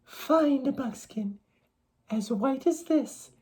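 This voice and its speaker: A storybook reader plays a gentle young maiden from a dream vision. Her voice is high, soft and airy as she gives solemn, measured instruction. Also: expressive, husky, full character voice.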